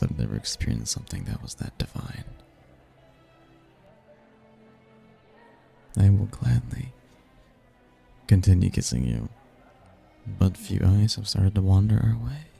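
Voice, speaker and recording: A man playing a suave, seductive male demon in an intimate ASMR roleplay, teasing and flirtatious, with a husky, gravelly murmur. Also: dark tone, full character voice. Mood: seductive, pleased. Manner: slow, flirtatious, breathy murmurs with low chuckles.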